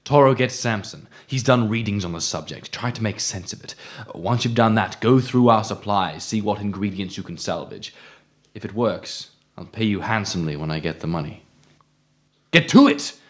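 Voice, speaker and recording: A man's voice: fairly low pitch, lively intonation, normal speed, close to an everyday voice. A man playing a zealous, commanding mantis-man cult leader in an audio drama, oratorical and imperious. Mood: curt, scheming.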